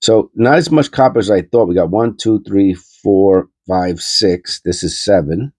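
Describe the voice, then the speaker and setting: Man, stuffy nasal voice from a cold, very low pitch, husky, dry sound, casual thinking-aloud chatter. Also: measured pace, a little mellow, no echo. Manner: rambling, filler-heavy, thinking aloud. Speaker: coin-hunting channel host. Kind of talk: casual coin roll hunting commentary.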